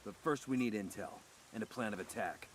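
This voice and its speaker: An actor playing a tough, calm special forces leader, terse and commanding, in a video game. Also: male voice, fairly low pitch, brisk, noisy background, close to an everyday voice. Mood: resolute. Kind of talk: naturalistic video game cutscene acting.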